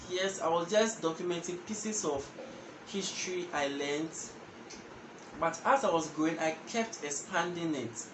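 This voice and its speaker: A man's voice: on the high side, a bit bright. Chatty young Ghanaian man teaching. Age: young adult. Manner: chatty, repeats words, explains step by step. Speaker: language teacher and channel host. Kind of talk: casual direct-to-camera lesson. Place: indoor room with patterned wall. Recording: echoey room with background noise.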